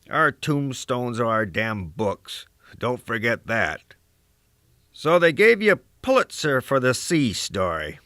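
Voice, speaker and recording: A man's voice: mid-range voice, bright, forceful tone, no echo, studio quality. A man voicing an aging, cantankerous, proud American poet in a rambling dramatic soliloquy, with a crusty, musing, oratorical delivery and salty asides. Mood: gruff, sardonic. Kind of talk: dramatic first-person literary soliloquy.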